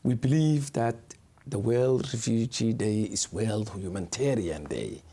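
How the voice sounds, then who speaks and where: A man's voice: lowish voice, unhurried, mellow, breathy edge. A man from Somalia, a guest from a refugee community, speaking earnestly in an interview and searching for his words.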